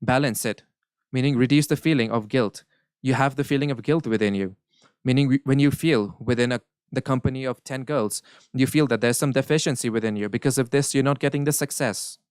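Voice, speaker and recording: Smooth-voiced man interpreting. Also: medium pitch, no room sound. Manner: measured, earnest, occasional self-corrections. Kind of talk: live interpretation of a spiritual Q&A.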